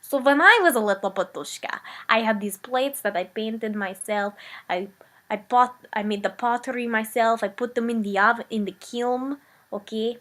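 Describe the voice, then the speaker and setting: A woman's voice: a little high, moderate pace, natural voice. A woman doing Olga, rambling old-country immigrant. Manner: broken English, meandering, deadpan comic storytelling. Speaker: comedic character. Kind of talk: improvised comic character monologue.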